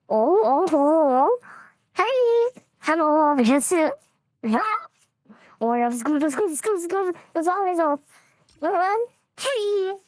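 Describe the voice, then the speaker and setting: Man putting on a squeaky, very high young girl. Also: sing-song, measured pace, long pauses, darkish tone, dry recording, exaggerated voice. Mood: gushing, excited. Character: high-pitched young girl, sweet and clueless, through voice changer. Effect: pitch-shifting voice changer. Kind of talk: casual game chat, live stream prank.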